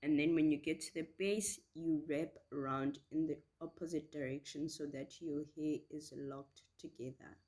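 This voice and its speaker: A woman with a slightly hoarse voice from a cold, chatting casually and reassuringly through a step-by-step tutorial. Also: low-pitched, fairly even intonation, moderate pace, few pauses, warm tone. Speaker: hairstyle tutorial host.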